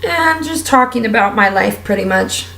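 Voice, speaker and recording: A woman with a raspy, strained voice, talking candidly and at length while doing her makeup for a vlog. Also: mid-range voice, lively intonation, normal speed, a bit bright, reverberant, low-quality recording. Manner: candid, heartfelt, rambling with fillers. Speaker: beauty vlogger. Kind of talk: casual personal rant while doing makeup.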